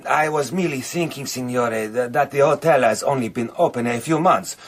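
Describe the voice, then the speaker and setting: An actor playing a deferential, hesitant hotel manager in a radio play, polite and halting. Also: male voice, mid-range voice, natural voice. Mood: deferential, uneasy.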